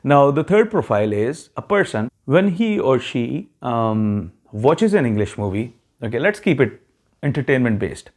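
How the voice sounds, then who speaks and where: Man, medium pitch, casual explainer, frequent "okay?" check-ins, fillers. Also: sing-song, normal speed. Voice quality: non-native English speaker, self-described neutral accent. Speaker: YouTube channel host giving immigration advice. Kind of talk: casual explanatory talk to viewers.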